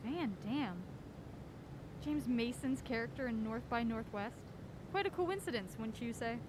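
A woman's voice: fairly high pitch, lively intonation, unhurried, background noise. A woman playing a wry, wary amateur sleuth in a naturalistic audio drama, with a dry, questioning manner. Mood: wary, sarcastic. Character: wry, wary young woman on a mystery hunt.

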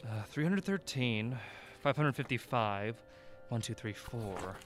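A man's voice: mid-range voice, animated, a little slow, faint music behind, close to an everyday voice. An actor playing an agreeable, dry-witted man, a sidekick amateur sleuth, in a comic audio drama. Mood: puzzled, helpful. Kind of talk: comedic audio drama acting.

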